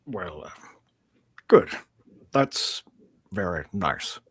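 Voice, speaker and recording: Casting: man as disdainful, irritable old-hand thespian, lowish voice, clipped dry delivery, close radio-play recording. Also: sing-song, drawn-out words, a bit bright, husky, no room sound, exaggerated voice. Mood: awkward. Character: grumpy, disdainful veteran male actor. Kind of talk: live radio theatre script read.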